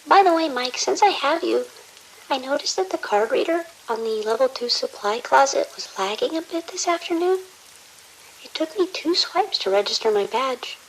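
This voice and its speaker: Woman acting a high-voiced, precise research doctor. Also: animated, moderate pace, poor audio. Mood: calm, polite. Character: conscientious, polite research doctor on night duty. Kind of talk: audio drama dialogue. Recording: faint sizzling hiss.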